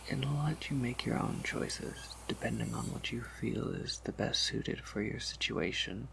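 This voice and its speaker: A man performs a patient, knowing vampire shopkeeper for an ASMR roleplay. He speaks slowly and softly, mostly in a whisper that drops into heavy creak. His explanations are measured and slightly wry. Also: medium pitch, heavily acted, poor audio. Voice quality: breathy whisper with creaky undertone. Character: soft-spoken male vampire apothecary shopkeeper, patient and knowing.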